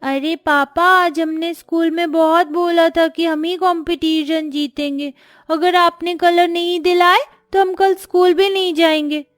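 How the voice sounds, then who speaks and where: A very high, childish voice, put on by a woman for a young cartoon schoolkid. The child is whiny and insistent, recorded dry over faint music. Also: fairly even intonation, a little slow, no echo. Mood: pleading. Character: whiny, demanding young schoolchild pleading with parents. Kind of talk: Hindi moral-story cartoon dialogue.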